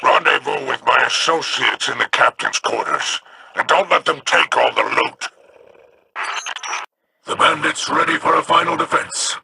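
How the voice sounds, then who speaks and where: An actor playing a solemn male alien captain in a video game, speaking in a slow, formal, commanding way. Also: mid-range voice, wildly swooping pitch, vocal fry, pushed and bright, slight background noise, heavily acted, low-quality recording.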